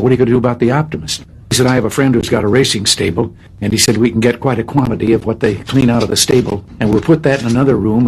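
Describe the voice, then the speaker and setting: A man's voice: medium pitch, a little hiss or hum, low-quality recording. A man telling a joke as a story, acting out the dialogue.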